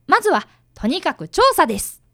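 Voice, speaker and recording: A polite young boy detective, acted by a woman, high and very animated, recorded close and dry. He explains things earnestly in a youthful radio-drama manner. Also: brisk, dry recording, full character voice. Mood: serious narrating. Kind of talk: radio drama acting with first-person narration.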